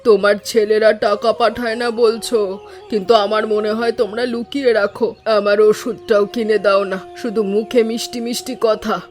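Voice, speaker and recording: A woman's voice: medium pitch, fairly even intonation, normal speed, soft background music, close to an everyday voice. A woman voicing a querulous, suspicious old village mother-in-law in a cartoon story, complaining as she talks. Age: older. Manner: complaining, grumbling. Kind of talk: Bengali cartoon story dialogue.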